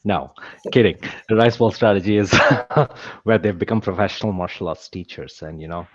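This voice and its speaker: A man's voice: low voice, moderate pace, no room sound, muffled recording. A man speaking as a guest author, explaining with dry humor. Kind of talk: casual discussion.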